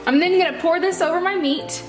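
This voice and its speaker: A high-pitched American woman gives a casual cooking demonstration in a home kitchen. She explains each step in a chatty way. The room is echoey and music plays behind her. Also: brisk.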